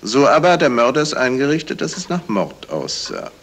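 An actor playing a polite, shrewd detective in a radio crime play, talking in a measured way with small hesitations. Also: male voice, medium pitch, big pitch swings, fairly bright tone. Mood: explaining. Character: courteous, shrewd male detective explaining a murder. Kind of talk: 1970s German radio crime play acting. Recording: noisy vintage radio play recording.

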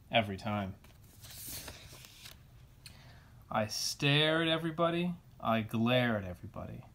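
Man reading as a slow, dramatic storybook sunflower seed. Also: medium pitch. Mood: grumpy, boastful. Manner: dramatic, deliberate storybook delivery. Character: grumpy, self-confessed bad sunflower seed, later trying to be good. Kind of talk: children's picture-book reading.

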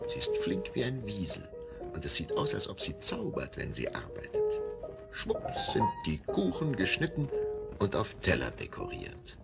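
Deep gravelly storybook narrator, older man. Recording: vinyl rip with music bed.

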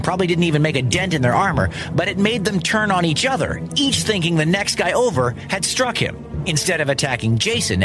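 A man telling a scripted children's story as host, in a wry, conversational manner with dramatic emphasis. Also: fairly high pitch. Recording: music behind the voice. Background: music.

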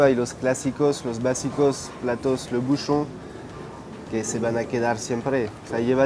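A man in his twenties from France speaking Spanish with a French accent, answering interview questions as a chef, hesitant with many fillers. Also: medium pitch. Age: 20s. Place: restaurant dining room, indoors. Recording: noisy restaurant ambience.

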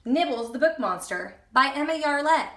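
A young woman reading a picture book aloud expressively, the way one does for small children. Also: high-pitched. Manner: expressive, slow and deliberate for children. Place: indoors in a room at home. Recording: echoey room sound.

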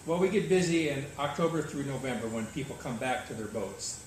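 A man's voice: medium pitch, lively intonation, brisk. An older man organizing cruising services, answering interview questions in an easygoing, matter-of-fact way on a tropical veranda. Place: open-air veranda, tropical. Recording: echoey, distant, noisy outdoor veranda.

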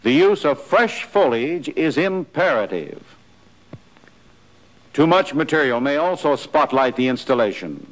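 An American man narrating a 1940s military training film in a scripted, instructive style, with clear imperative delivery. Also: fairly high pitch, big pitch swings, measured pace. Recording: noisy 1940s film soundtrack.

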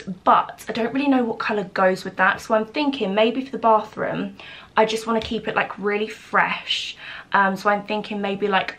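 A British woman in her twenties chatting casually and informally to camera as a lifestyle vlogger, in a room at home. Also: medium pitch. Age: 20s. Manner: informal, chatty, frequent "like" and "literally". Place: small home office room indoors.

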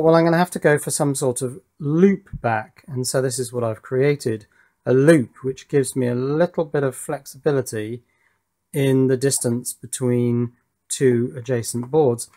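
A man presents casually from his workbench. His voice sits at a middling pitch. He talks through his work methodically, thinking aloud as he explains each step. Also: dark tone. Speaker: electronics hobbyist presenter. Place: indoors at an electronics workbench.

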